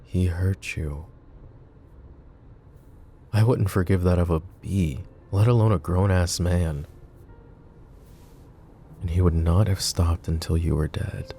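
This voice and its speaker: A man voicing a flirty, possessive and protective mafia boss in an ASMR roleplay, teasing and soft-spoken. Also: low-pitched, steady tone, slow pace, vocal fry, pauses often, slight background noise, heavily acted. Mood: tender teasing.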